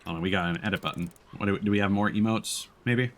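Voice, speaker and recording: A man's voice: fairly low pitch, quick pace, a bit bright. A man streaming a video game, giving casual live commentary as he plays.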